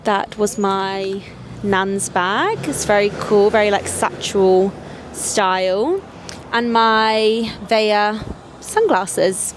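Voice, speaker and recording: A young woman talks easily to camera in a relaxed vlog, her pitch sitting in a middle range for a woman. She sounds chatty and upbeat, with plenty of casual fillers. Faint traffic noise hums behind her. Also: slow pace. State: voice going, getting sick. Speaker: vlogger.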